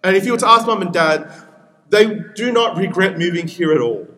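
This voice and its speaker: Earnest Peruvian-born man preaching, fairly high. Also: sharp tone. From Peru. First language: Spanish. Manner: earnest, with repeated appeals and pauses. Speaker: pastor. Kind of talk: sermon.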